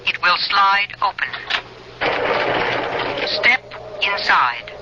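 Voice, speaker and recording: An actor voicing an emotionless alien landing-control voice, giving flat, mechanical commands. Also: male voice, high voice, very slow, very noisy, heavily acted. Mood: commanding. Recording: muffled old radio broadcast with loud music behind.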